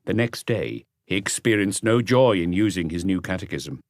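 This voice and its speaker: A man's voice: deep voice, lively intonation, normal speed, no room sound, clean studio sound. A man reading a mystery novel as an audiobook narrator in a scripted storytelling style.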